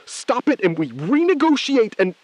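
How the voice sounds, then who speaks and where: A desperate, driven bank robber, played by a man in a suspense audio drama. His delivery is intense and confrontational, halting at times, with pitch that swings widely. The recording is dry. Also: sing-song, a little mellow, no echo. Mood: agitated, pleading. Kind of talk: scripted audio thriller acting.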